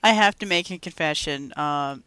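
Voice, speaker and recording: A young woman with a smooth, clear voice chats on a gaming podcast, animated and quick to giggle. She is recorded close and dry. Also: low-pitched, dry recording. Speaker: podcast co-host. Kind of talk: casual chat about video games.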